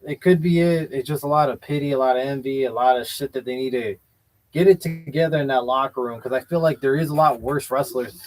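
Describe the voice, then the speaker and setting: A man's voice: medium pitch, a little fast, rough recording. Blunt, opinionated male podcast host. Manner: blunt, opinionated, hedges with "I feel like". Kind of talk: casual wrestling chat show.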